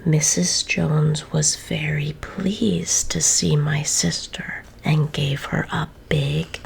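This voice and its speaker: A woman reads a children's story in a soft, slow whisper, lingering over every phrase. Her voice sits low and carries a strong gravelly texture. A faint hiss of noise lies underneath. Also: fairly even intonation.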